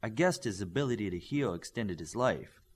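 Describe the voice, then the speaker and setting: A man narrating a superhero story as an audiobook, reading from a script with dramatic, deliberate phrasing. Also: on the low side, expressive, breathy edge.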